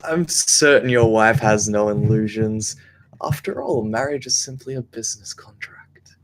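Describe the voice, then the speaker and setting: A man's voice: fairly low pitch, lively intonation, unhurried, a little hiss or hum, low-quality recording. Man playing a smug, lecherous drunken nobleman. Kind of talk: dramatic table read.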